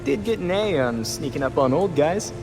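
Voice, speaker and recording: A young male superhero with a fairly high, expressive voice. He sounds earnest and boyish, with a wry streak, in a cinematic video game style over clear music. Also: normal speed. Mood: wry, reflective.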